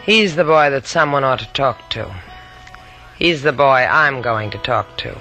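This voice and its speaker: An actress playing a brash, bossy, wisecracking young woman in an old radio adventure drama, sharp and sardonic. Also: extremely low pitch, expressive, measured pace, heavily acted. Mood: wry, determined. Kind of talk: 1940s-50s radio drama acting. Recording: old radio recording with faint music.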